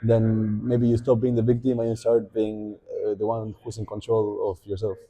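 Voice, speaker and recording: A young man with an Argentine Spanish accent, Spanish as his first language, talking as a podcast guest in a thoughtful way, pausing to think and often restarting his sentences. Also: on the low side, completely flat delivery, mellow, poor audio. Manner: reflective, thinking aloud with many fillers and restarts. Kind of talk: casual podcast conversation.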